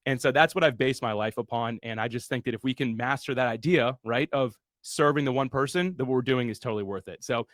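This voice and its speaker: A man's voice: mid-range voice, quick pace, few pauses, fairly bright tone, no room sound, clean studio sound. A man hosting a webinar launch party, speaking earnestly and motivationally with casual fillers. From USA. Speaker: host.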